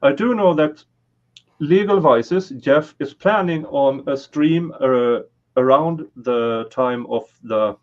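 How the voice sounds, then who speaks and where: A man's voice: mid-range voice, slow. A Swedish man with a Swedish accent hosting a casual livestream chat, talking hesitantly with many fillers and restarts. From Sweden. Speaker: livestream host. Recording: slightly dull lo-fi audio.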